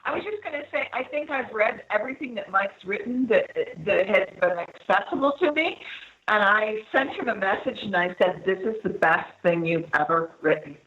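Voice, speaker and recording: Female author, mid-range voice, relaxed conversational panel talk, somewhat muffled sound. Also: mid-range voice, moderate pace. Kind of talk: casual chat.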